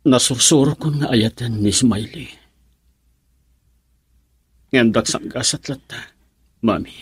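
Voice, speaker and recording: A man's voice: mid-range voice, slow, lightly acted. A man playing an earnest, lovelorn and self-pitying suitor in an Ilocano radio love drama, with plaintive, emotional delivery. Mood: heartbroken.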